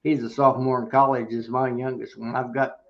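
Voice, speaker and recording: A man's voice: medium pitch, flat delivery, fairly quick. Man, 70s, American, mid-range voice, casual panelist chat over slightly dull audio. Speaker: panelist on a beekeeping live chat.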